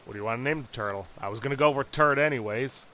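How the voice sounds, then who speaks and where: A man's voice: mid-range voice, quick pace, bright, forceful tone, a little hiss or hum. A man hosting a let's play, casually and wryly commenting and reading game text aloud.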